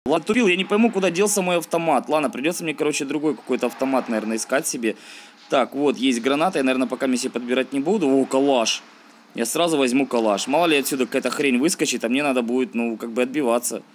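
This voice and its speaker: A man's voice: medium pitch, quick pace. Man doing casual gameplay commentary over noise. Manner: slangy, thinking aloud, grumbling. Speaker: gaming video host. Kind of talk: casual let's-play commentary.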